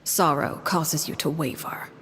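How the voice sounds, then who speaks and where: A woman playing a commanding, cold duelist who heads a house, speaking in a measured, formal, imperious way in a video game. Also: low voice, steady tone, background noise. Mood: cold, stern.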